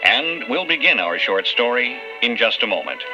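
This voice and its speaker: A man's voice: medium pitch, very animated, normal speed, over music. A man announcing as host in a formal, emphatic old-time radio style, reading a scripted introduction. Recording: muffled old radio broadcast.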